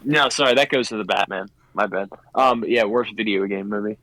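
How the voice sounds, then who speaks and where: A man's voice: fairly low pitch, fairly quick, a crowd in the background, rough recording. A man taking part in a casual podcast discussion as one of the panelists. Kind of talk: casual chat.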